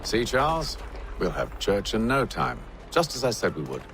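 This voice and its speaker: A man playing a composed, commanding gentleman mentor in a video game, speaking in a measured, formal way. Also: fairly low pitch, wildly swooping pitch, a little hiss or hum. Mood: calm, instructive. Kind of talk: video game cutscene acting. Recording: music behind the voice.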